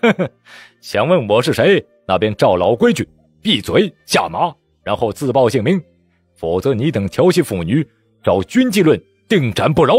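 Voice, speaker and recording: A man voicing a calm, cold rebel leader who speaks in a stern, measured, husky voice. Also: medium pitch, no echo, faint music behind, pitched above the performer's own voice.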